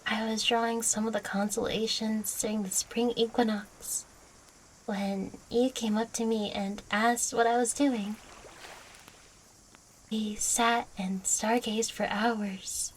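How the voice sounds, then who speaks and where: A woman voicing a playful, affectionate young catgirl girlfriend in an audio roleplay, teasing and coy, speaking closely to the listener with a breathy voice. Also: a little high, little pitch movement, slow pace, a little hiss or hum, poor audio. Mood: tender, nostalgic.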